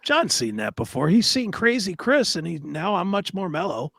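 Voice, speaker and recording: A man's voice: high voice, very animated, normal speed, gravelly, fairly bright tone, no echo, studio quality. A man co-hosting a talk show with informal, joking banter and casual questions.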